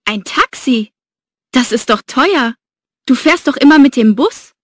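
A woman's voice: sits high, animated, a little slow, dry recording, clean studio sound. A woman playing a curious coworker in an exam dialogue, asking questions in a surprised tone.

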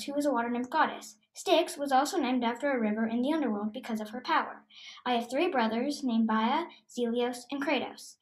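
A young girl playing a proud winged Greek goddess of victory, reciting a rehearsed school monologue in a measured way. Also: high voice, lightly acted, low-quality recording. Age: child or young teen student. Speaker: student performer. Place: indoors before a dark cloth backdrop.

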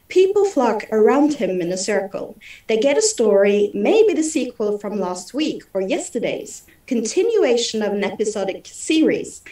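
Young woman from norway reading her talk on a video call, fairly high and lively voice, echoey and a bit far. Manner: steady, reading from a prepared text. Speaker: theatre critic presenting. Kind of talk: read conference presentation. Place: room at home. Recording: roomy video call audio from a distance.

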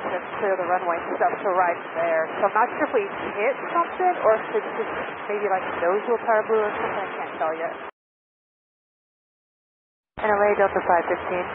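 A man's voice: sits high, normal speed. A male airline pilot talking over aircraft radio in a conversational, unflustered way. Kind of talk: air traffic radio exchange. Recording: noisy narrowband aircraft radio transmission.

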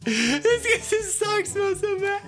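Comedic kids' story host, man in his 30s, high bright voice, very lively, playful brays; faint music bed. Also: slow. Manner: playful, bursts into loud high donkey brays. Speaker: children's book reader. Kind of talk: comedic read-aloud. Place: indoor studio with yellow couch.

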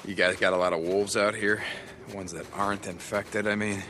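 Gruff low-voiced American drifter. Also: male voice, little pitch movement, normal speed, pushed and bright, a little hiss or hum. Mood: thoughtful, wary. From Oregon, United States. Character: rugged, cynical male drifter and tracker, weary and blunt. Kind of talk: naturalistic video game acting.

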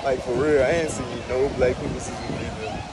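A young man, chatting casually and slangily in an upbeat, emphatic way as he vlogs. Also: fairly quick. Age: young adult. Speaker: vlogger. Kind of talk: casual vlog chat. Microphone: phone or action camera at arm's length. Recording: noisy handheld camera audio with ambient rumble.

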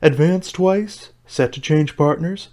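Storybook role: sad, earnest sea turtle played by a male reader, slow and solemn, slightly high male pitch. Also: a little mellow. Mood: excited. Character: mournful, sentimental sea turtle creature, wistfully earnest. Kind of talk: storybook character voice.

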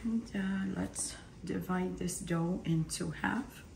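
A woman with a hoarse, partly lost voice, half whispering as she calmly talks through a cooking demonstration in her home kitchen. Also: lowish voice, slow pace, echoey room, heavy background noise. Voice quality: whispery, breathy. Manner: calm step-by-step instructions. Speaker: home cook.

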